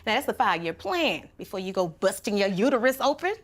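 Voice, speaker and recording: A woman's voice: mid-range voice, sing-song, brisk. An actress playing a blunt woman friend who delivers salty punchlines in a series scene. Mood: teasing. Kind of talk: TV series dialogue.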